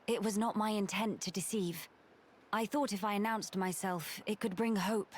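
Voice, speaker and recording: A woman's voice: medium pitch, a little hiss or hum, close to an everyday voice. Woman playing a composed, regal young princess. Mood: earnest, reserved. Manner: formal, measured, dignified diction. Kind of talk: video game cutscene acting.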